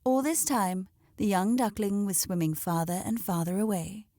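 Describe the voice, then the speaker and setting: A woman reads a children's story aloud as its narrator. Her pitch sits in the middle range, and the recording is dry and free of echo. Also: normal speed, warm tone.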